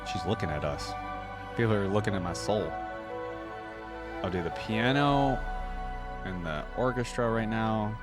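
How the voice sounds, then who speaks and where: A man with a fairly low voice gives off-the-cuff gaming commentary. He talks informally and thinks out loud, using plenty of slang. Music plays clearly behind him. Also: a little slow, pauses often. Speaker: reaction video host. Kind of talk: casual gaming reaction commentary. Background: game trailer and theme music.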